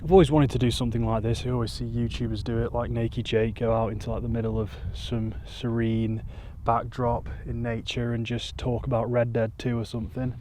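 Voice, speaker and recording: A man, talking to camera in a rambling, self-deprecating monotone on a hilltop, into a mic with a furry windscreen. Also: mid-range voice, a little fast, slight background noise. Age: 20s. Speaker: YouTuber. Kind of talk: casual vlog talk to camera. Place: outdoors on a hilltop.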